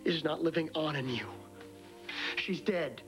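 A man's voice: on the high side, unhurried, music in the background, lightly acted. A man in his thirties acting a grieving husband in a daytime soap, tense and disbelieving, on a studio set.

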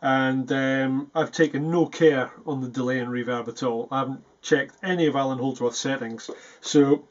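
A man's voice: mid-range voice, steady tone, moderate pace. A man in his forties chatting casually and a little hesitantly to camera as a self-deprecating guitarist, recorded in a small practice room. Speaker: guitarist presenting a video. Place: small foam-treated practice room indoors. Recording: dull, muffled sound.

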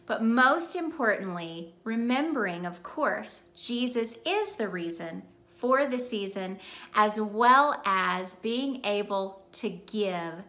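A bright-voiced woman reads a storybook aloud for children in a roomy indoor space. She takes her time, speaking slowly and with exaggerated expression, as if talking straight to young listeners. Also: mid-range voice, animated. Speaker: children's ministry storyteller and host. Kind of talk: animated picture-book read-aloud for children.

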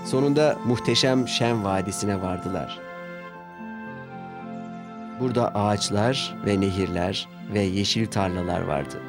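A man's voice: fairly low pitch, measured pace, pauses often. A man narrating a scripted children's story as the storyteller. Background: background music.

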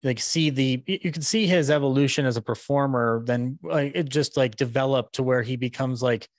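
A man, chatting casually in a halting, enthusiastic way into a close podcast mic. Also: mid-range voice, no room sound. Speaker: podcaster, panel host. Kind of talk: casual chat. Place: small room at home, on a video call. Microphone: close podcast microphone on boom arm.

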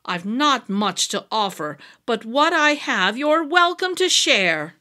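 A woman's voice: on the high side, sing-song, slow pace, sharp tone, full character voice. A woman voicing a kindly old man, a humble traveling harper in a storybook, modest and earnest in manner.

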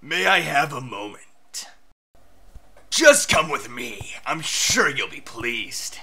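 A man voicing a smug, menacing high-school bully in an anime fandub, with mock politeness. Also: sing-song, slow, vocal fry, sharp tone, heavily acted. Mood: falsely friendly menace.

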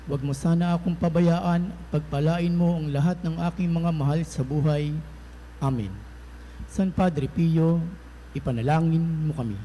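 A man slowly and solemnly reciting a devotional prayer aloud in church. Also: on the high side, fairly even intonation, dark tone, a little hiss or hum, low-quality recording. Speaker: prayer leader.

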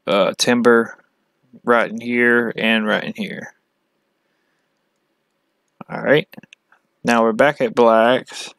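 A man from the United States giving a casual, halting, matter-of-fact walkthrough as a fishing channel host. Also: mid-range voice, fairly bright tone, clean tone, no echo. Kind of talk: casual screen-share map walkthrough.

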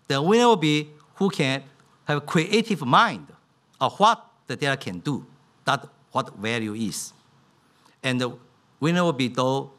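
Middle-aged man, lively keynote delivery. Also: mid-range voice. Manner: non-native English, simplified grammar. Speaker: keynote speaker. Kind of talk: conference keynote speech. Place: large conference hall stage at a podium. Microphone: podium microphone.

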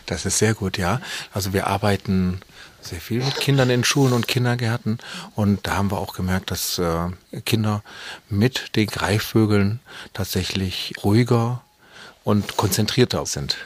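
A man's voice: on the low side, normal speed, slight background noise. A man, a falconer, explaining things and telling stories to children in spontaneous talk.

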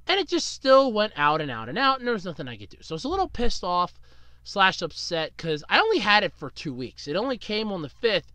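A man hosting a solo podcast, rambling casually about his week, with big swings up and down in pitch and still getting over a cold. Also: bright, forceful tone, dry recording. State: recovering from a cold. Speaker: podcast host.

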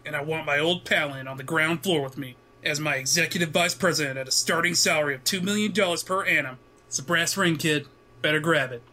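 A man's voice: a little high, fairly quick. A man playing a slick, greedy, pushy businessman, talking persuasively in a comic book dub. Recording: loud music bed behind the voice.

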